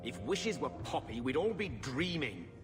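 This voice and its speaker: Defensive, shifty uncle, middle-aged, actor voicing, game cutscene with music and long reverb. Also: male voice, mid-range voice, very animated, moderate pace, natural voice. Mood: curt. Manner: curt, defensive.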